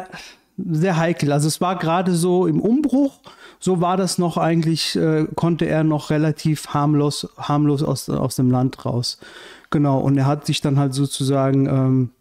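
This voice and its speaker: A man from Iran in his thirties, a podcast guest telling his story in hesitant casual chat full of fillers, on a boom mic in a bright living room. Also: fairly even intonation, unhurried. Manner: hesitant, many fillers and restarts. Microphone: studio mic on boom arm, headphones.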